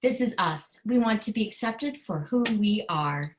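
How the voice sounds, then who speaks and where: A woman who is a children's author, reading aloud and explaining in a deliberate, earnest way with simple phrasing. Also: medium pitch, lively intonation, slight background noise. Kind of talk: storybook read-aloud and explanation.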